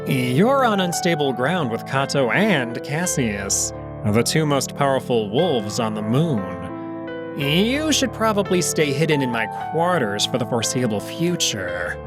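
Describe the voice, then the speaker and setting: A man playing a shrewd, composed jackal schemer in a visual novel, speaking in a measured, formal, cautioning manner. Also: medium pitch, sing-song, over music. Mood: measured, grave. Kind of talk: visual novel character acting.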